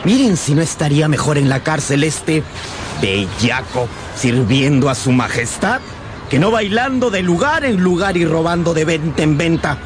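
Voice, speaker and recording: An actor playing a fierce, boastful bully of a soldier in a radio drama, blustering with contempt. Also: male voice, fairly high pitch, sing-song, normal speed, noisy background. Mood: contemptuous.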